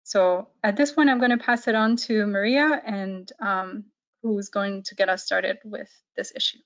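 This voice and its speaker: A woman's voice: medium pitch, steady tone, a little slow. A young woman presenting a policy report on a webinar, hesitant, with many fillers and restarts. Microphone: video call. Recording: dull lo-fi video call audio.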